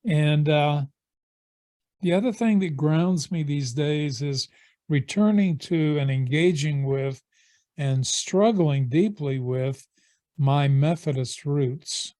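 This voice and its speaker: Slow, mellow-voiced man. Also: fairly high pitch, no echo. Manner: slow, thoughtful, with pauses. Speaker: meeting participant. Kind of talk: casual self-introduction. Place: home.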